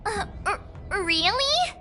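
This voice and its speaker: A woman voicing a perky, unsure teenage girl apprentice in a video game, with a bubbly, hesitant, expressive manner. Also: extremely high pitch, slow, soft background music, heavily acted. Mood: puzzled.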